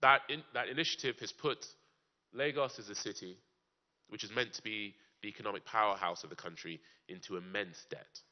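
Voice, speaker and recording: A man speaking at a conference panel, deliberate and posing rhetorical questions. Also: on the low side. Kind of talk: public talk at a conference. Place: conference in Germany. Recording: dull lo-fi sound.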